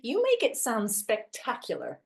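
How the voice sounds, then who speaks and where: An actress playing an awkward, literal-minded young woman in a play reading, a hopeless romantic with a hesitant, wry deadpan manner. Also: a little high, a little slow, gravelly, exaggerated voice. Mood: dry, hopeful. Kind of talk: two-hander stage play reading.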